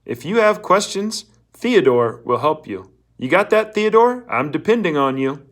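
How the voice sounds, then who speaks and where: A man's voice: on the high side, sing-song, measured pace, voice pushed up. Man putting on a hearty, preachy camp counselor. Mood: upbeat, preachy. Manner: hearty, encouraging, patronizing. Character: cheerful, preachy male camp counselor addressing teenage boys.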